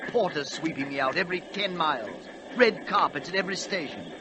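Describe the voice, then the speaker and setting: A snobbish royal express train, speaking in a high, swooping upper-class English voice. He is fussy and pompous in his complaints, in the manner of old radio acting. The broadcast is audibly noisy. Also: big pitch swings, a little fast. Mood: exasperated. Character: snobbish British royal express train, haughty, peevish, bored. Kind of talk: 1940s radio play acting.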